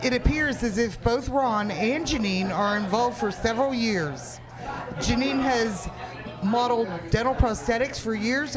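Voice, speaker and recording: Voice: mid-range voice, lightly acted. A performer playing a savvy, sassy waitress sleuth in an amateur comic radio play, blunt and matter-of-fact.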